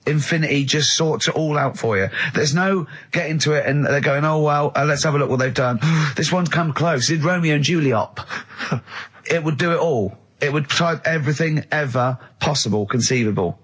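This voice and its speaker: A man's voice: on the high side, moderate pace, a bit bright, husky. A man co-hosting a radio show, arguing casually and emphatically, interrupting and repeating himself in exasperation. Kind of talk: casual argumentative radio banter.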